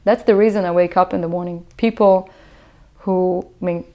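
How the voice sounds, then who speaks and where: Woman, Israeli accent, Hebrew native, low-pitched, even and flat delivery, hesitant, thoughtful interview answers. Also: moderate pace. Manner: reflective, hesitant with many fillers and restarts. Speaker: musician.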